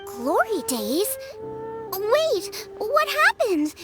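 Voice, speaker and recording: A woman's voice: extremely high pitch, huge pitch swings, extremely slow, full character voice. A woman voicing a cute, naive young girl in an anime-style video game, exclaiming and asking wide-eyed questions, with music behind her. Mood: astonished. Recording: loud music over the voice.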